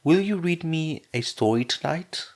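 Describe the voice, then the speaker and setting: A man voicing a small, shy little girl with a high, breathy, strained voice in a spoken storybook. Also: expressive, a little slow. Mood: pleading, small. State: hoarse, strained voice.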